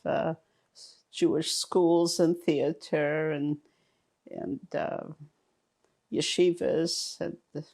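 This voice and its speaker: A woman in her eighties speaks slowly and haltingly in an oral history interview, often pausing on fillers and restarting. Her voice is heavily gravelly and creaky. German was her first language. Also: deep voice, a little mellow. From Romania. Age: 80s.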